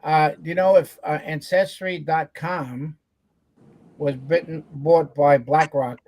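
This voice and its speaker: A man's voice: a little high, fairly even intonation, slow pace, darkish tone. A man in a casual panel chat, reading from the chat, hesitant with many fillers.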